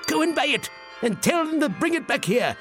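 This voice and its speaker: Elderly reformed miser, squeaky and gleeful, wildly swooping, stammery, storybook. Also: male voice, very animated, a little fast, over music. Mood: giddy. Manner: giddy, breathless, stammering. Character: elderly miserly man turned giddy and jovial.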